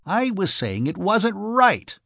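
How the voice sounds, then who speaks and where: A man voicing an aggrieved, energetic music publisher who complains emphatically in an audiobook. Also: a little high, no echo, clean studio sound, pitched above the performer's own voice, exaggerated voice. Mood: aggrieved insistence. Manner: emphatic, complaining, drawn-out stresses. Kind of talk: audiobook character voice.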